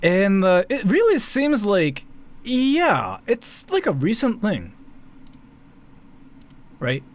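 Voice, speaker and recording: A man's voice: sits high, huge pitch swings, slow pace. A man from Japan with a Japanese accent doing casual, rambling live commentary, often repeating and interrupting himself. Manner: rambling, repetitive, self-interrupting, swears casually. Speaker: streamer, commentary host. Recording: faint background noise.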